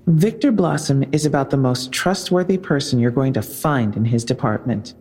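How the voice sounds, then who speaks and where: An actress playing a guarded, defensive police officer in an audio drama, answering tersely and warily in a husky, creaky voice. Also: female voice, extremely low pitch, lively intonation, moderate pace, a little mellow, big-room sound, heavily acted. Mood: wary. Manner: wary, terse, pushing back.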